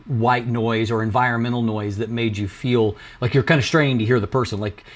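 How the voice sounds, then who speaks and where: A man hosting a conversational review, talking in a halting way and rephrasing as he goes. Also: medium pitch, steady tone. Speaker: host, product reviewer.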